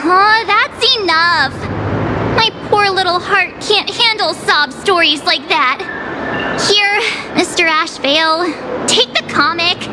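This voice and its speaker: A woman's voice: extremely high pitch, slow pace, noisy background. An actress playing a sassy young girl adventurer in a video game, with a blunt, exaggerated, dramatic delivery. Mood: mock tearful. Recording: music under the voice. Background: game music.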